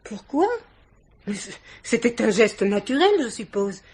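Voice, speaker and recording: An actress playing an assertive society hostess in a vintage French radio mystery, haughty, chatty and insistent. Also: female voice, medium pitch, lively intonation, a little slow, darkish tone, husky. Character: assertive society hostess, a young woman's aunt. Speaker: actor.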